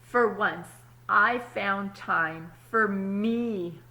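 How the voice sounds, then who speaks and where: A woman, apparently a teacher, reads a picture book aloud to children expressively, pausing to explain words simply and check in with her young listeners. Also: mid-range voice, sharp tone, low-quality recording. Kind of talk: children's storybook read-aloud with asides.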